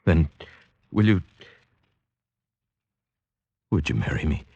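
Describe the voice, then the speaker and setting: An actor playing an earnest, lovestruck outsider in an old-time radio drama, speaking in an ardent, lyrical, deliberate way. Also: male voice, very deep voice, lively intonation, dark tone, slightly rough, dry recording, muffled recording, exaggerated voice. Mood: tender, yearning. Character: earnest, lovestruck sighted outsider, scorned as village idiot.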